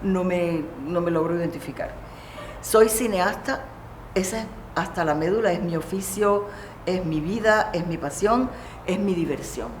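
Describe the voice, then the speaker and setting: A woman's voice: fairly low pitch, normal speed, reverberant, slight background noise. A woman from Barranquilla, Colombia, a filmmaker guest speaking emphatically and passionately. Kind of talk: casual conversation.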